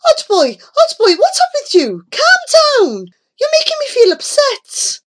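Woman voicing a shrill, alarmed old grandfather. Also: huge pitch swings, unhurried, exaggerated voice. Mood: flustered, upset. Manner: agitated exclamations and anxious questions. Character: frail, blind old grandfather, alarmed and pleading. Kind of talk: dramatised storybook reading.

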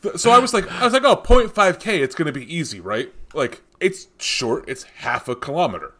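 A man's voice: a little high, big pitch swings, a little fast, sharp tone. A man co-hosting a beer podcast, chatting casually and rambling through his tasting notes. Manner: rambling, tangential, with many fillers and restarts. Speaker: podcast co-host reviewing beer. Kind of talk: casual chat.

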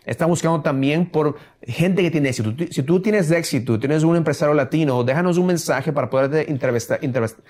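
A man's voice: a little high, a little mellow, slight background noise. A man hosting a motivational business podcast, who slips phrases into his Spanish and stops to correct himself. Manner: mixes English phrases into Spanish; halting, self-correcting restarts. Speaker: podcast host. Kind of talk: casual motivational chat.

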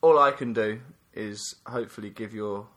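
A man's voice: lowish voice, moderate pace. A man who coaches youth soccer, co-hosting a casual chat, teasing his partner and then explaining things steadily. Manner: playful, teasing; explanatory, steady when giving advice.

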